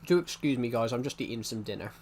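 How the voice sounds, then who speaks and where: A man's voice: mid-range voice, lively intonation, fast talker, a little mellow, a little hiss or hum. A young man from the United Kingdom with a thick regional British accent, chatting casually on a live stream, rambling and restarting his sentences. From United Kingdom, outside London. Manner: rambling, repeats himself, many restarts. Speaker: game streamer.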